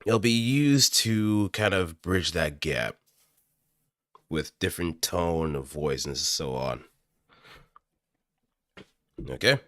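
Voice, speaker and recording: A man's voice: deep voice, expressive, slow pace, pauses often, fairly bright tone, no echo, clean studio sound. A man talking casually to himself as he works through a voice recording practice session. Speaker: amateur voice actor.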